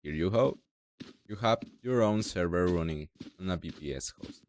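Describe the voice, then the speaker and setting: A young man from Mexico with a Mexican Spanish accent, speaking halting non-native English as he walks through a software tutorial step by step. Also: sits low, expressive. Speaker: tutorial creator. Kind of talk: screen-recorded software tutorial.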